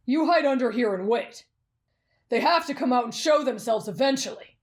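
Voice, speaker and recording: A woman voicing a brash, bossy young male warrior in an anime fan roleplay, blunt and gruff in manner. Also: fairly high pitch, animated, normal speed, natural voice. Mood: brash, stubborn.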